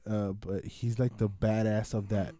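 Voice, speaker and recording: A man's voice: fairly low pitch, flat delivery, measured pace, mellow. A man co-hosting a casual film review podcast, rambling along in slangy, sweary talk.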